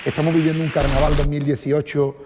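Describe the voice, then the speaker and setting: A man's voice: fairly high pitch, little pitch movement, quick pace, long reverb tail, noisy background. A man speaking earnestly in an interview. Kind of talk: interview talk.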